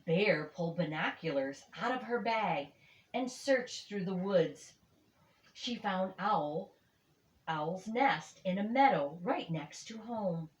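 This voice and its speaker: An older woman reads a children's story aloud with expressive, emphatic phrasing in an echoey room. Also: medium pitch, slow, dull audio. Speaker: storybook reader.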